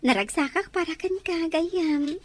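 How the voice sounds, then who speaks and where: A woman's voice: very high voice, a little slow. A woman acting a cheerful, nosy, teasing friend in a radio drama, with an Ilocano accent. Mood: teasing, gleeful.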